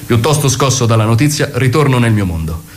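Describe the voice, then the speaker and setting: Deadpan man telling comic tales. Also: mid-range voice, brisk. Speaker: comic theatre narrator. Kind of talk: comic monologue, mock-noir storytelling.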